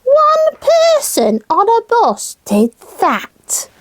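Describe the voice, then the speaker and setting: A woman's voice: very high voice, wildly swooping pitch, drawn-out words, no echo, exaggerated voice. A woman voicing a naive, eager young child puppet with innocent exclamations in a children's comedy show. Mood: amazed.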